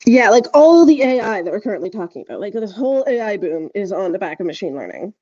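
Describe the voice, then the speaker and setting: A woman's voice: mid-range voice, big pitch swings, quick pace, mellow, dry recording. A woman co-hosting a podcast, chatting casually in an informal, rambling way. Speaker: podcast co-host. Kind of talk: casual conversational podcast chat. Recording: slightly dull, dry recording.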